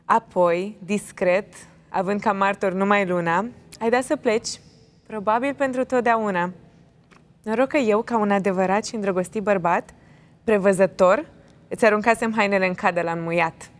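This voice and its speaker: A woman's voice: medium pitch, fairly quick. Woman reciting poetry dramatically. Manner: dramatic reading then relaxed replies. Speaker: guest actress. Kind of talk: poetry recitation and casual chat. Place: TV studio stage.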